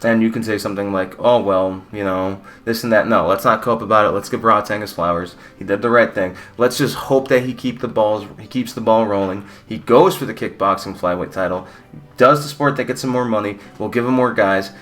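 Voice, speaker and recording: A man's voice: on the low side, fairly even intonation, a little fast, a bit bright, faint music behind. A young man from Mexico giving a blunt, rambling, opinionated fight recap with casual swearing. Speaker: combat sports YouTuber.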